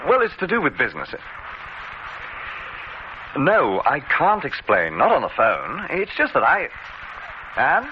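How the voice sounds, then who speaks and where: A polite, curious guest. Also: male voice, on the high side, unhurried, pauses often. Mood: flustered. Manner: genteel, hesitant, lively. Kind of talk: radio drama acting. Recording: lo-fi old radio drama, heavy hiss.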